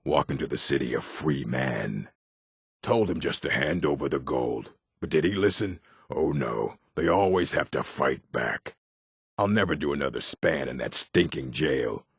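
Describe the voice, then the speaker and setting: An actor playing a weary orc outlaw in a video game, brooding and muttering to himself in a gravelly voice. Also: male voice, very deep voice, lively intonation, unhurried, dry recording, clean studio sound, full character voice. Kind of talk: video game character acting.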